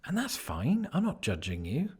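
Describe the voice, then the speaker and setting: A man's voice: fairly high pitch, huge pitch swings, moderate pace, darkish tone, slightly rough. A man from Britain living in the American Midwest, narrating his vlog with dry, sardonic humor. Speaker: vlogger in the original video.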